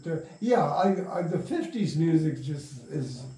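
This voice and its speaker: An older man being interviewed, telling stories in a halting, rambling way with trailing thoughts. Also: fairly high pitch, lively intonation, warm tone. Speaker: interview guest, radio play producer. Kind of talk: casual reminiscing interview. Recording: roomy, distant mic, faint noise.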